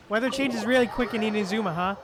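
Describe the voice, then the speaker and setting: Brief: game streamer, unhurried casual commentary, mid pitch, self-directed muttering, clear game music underneath.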